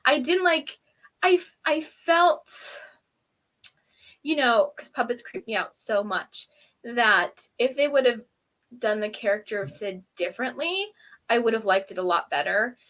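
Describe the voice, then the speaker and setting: A woman with a somewhat high-pitched voice talks as co-host of an informal review show. She rambles in a friendly back-and-forth style, with a giggly, conversational manner. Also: expressive, measured pace, fairly bright tone. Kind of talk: casual chatty review between friends.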